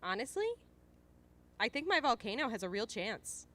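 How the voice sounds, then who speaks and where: A woman's voice: a little high, very animated. A woman playing an awkward, teasing, loyal young survivor in an audio drama. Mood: playful. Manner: halting, nervous, playful. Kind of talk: naturalistic audio drama acting. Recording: faint background noise.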